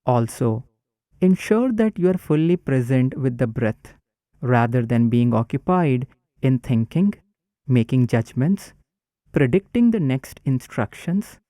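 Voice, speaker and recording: A man guiding a breathing meditation in a calm, instructive way. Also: medium pitch, slow pace, dry recording, clean studio sound. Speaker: meditation guide.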